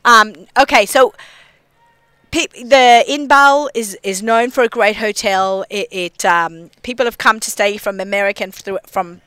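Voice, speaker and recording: A woman's voice: medium pitch, a little slow, a bit bright, smooth, dry recording. A woman with an Australian accent interviewing someone in a hotel, chatty and gushing with lots of restarts. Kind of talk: enthusiastic interview chat.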